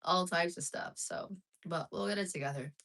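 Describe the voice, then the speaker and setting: A woman's voice: fairly low pitch, moderate pace, fairly bright tone. A woman live streaming casually to her viewers, chatting frankly and reading out chat messages. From United States.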